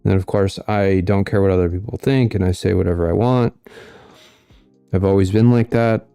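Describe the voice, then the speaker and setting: A man hosting a casual commentary stream, reading out viewer comments in a monotone way. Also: low voice, moderate pace, clear, resonant tone, no room sound, faint music behind. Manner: monotone delivery. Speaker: host.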